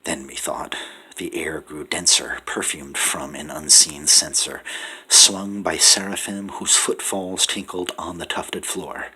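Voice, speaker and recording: A deep-voiced man reads a poem aloud in a husky, breathy tone. His delivery is hushed and dramatic, sinking to whispers and then swelling with intensity. The voice has a bright, forceful edge despite its low pitch. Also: steady tone, a little slow, low-quality recording. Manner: hushed, often whispering, swelling to loud pleading. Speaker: poetry reader. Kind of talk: dramatic poetry recitation.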